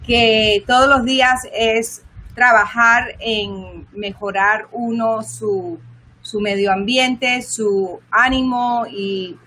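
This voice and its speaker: A woman co-hosting a casual bilingual morning chat, bubbly and quick to laugh, moving between Spanish and English. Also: fairly high pitch, fairly even intonation, sharp tone, slight background noise, poor audio. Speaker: co-host.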